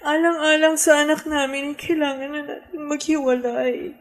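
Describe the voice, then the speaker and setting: A woman's voice: high voice, fairly even intonation, moderate pace. An actress playing a tender, sentimental young mother and sweetheart in a radio drama, with an emotive delivery. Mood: regretful. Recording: music bed under the voice. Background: background music.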